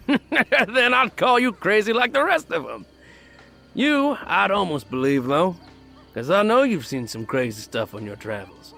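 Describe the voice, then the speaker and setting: Man acting a chatty, teasing village fellow, higher-pitched. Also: big pitch swings, measured pace, vocal fry, sharp tone, faint music behind, heavily acted. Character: cheerful, teasing village man, talkative and folksy. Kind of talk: fan audio drama acting.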